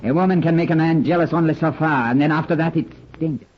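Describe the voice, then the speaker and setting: An actor playing a jealous, hot-tempered lover with a brooding, threatening manner in an old radio drama. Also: male voice, fairly high pitch, quick pace, close to an everyday voice. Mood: jealous, menacing. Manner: brooding, menacing. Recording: phone-like old radio recording.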